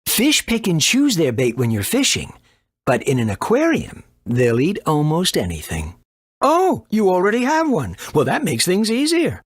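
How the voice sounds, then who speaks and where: An actor playing an eccentric, boastful doctor and inventor in a video game, brusque and quick to scold. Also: male voice, high voice, huge pitch swings, husky, no echo, studio quality, exaggerated voice. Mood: explanatory. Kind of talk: animated video game voice acting.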